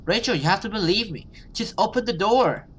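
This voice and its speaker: A man's voice: high voice, expressive, a little fast, a bit bright, a little hiss or hum, voice pushed up. A man voicing a menacing female demon from a horror game, commanding and threatening. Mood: pleading lure.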